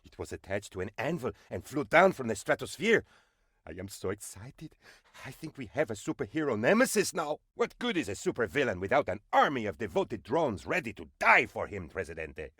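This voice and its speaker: An eager, bootlicking presidential aide from a comedy video game. His delivery is theatrical and very animated, swinging up and down as he flatters his leader. The recording is dry and close. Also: mid-range voice, fairly quick, breathy edge, dry recording. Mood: deferential. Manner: obsequious, excitable, melodramatic. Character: eager fawning male presidential aide in a Caribbean republic. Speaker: game advisor character.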